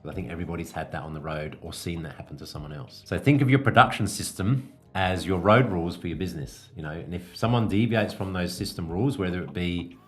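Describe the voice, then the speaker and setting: Male podcast coach, low-pitched, instructive and measured delivery, explanatory style, faint music underneath. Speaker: podcast host, business coach.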